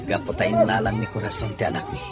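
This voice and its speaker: A man's voice: fairly low pitch, steady tone, fast, over music, natural voice. A man acting a troubled father and master of the house in a melodramatic audio drama.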